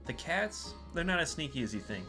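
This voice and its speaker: A man's voice: on the high side, sing-song, a little fast. A young man co-hosting a gaming video, making dry, joking remarks in casual commentary. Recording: loud music behind the voice.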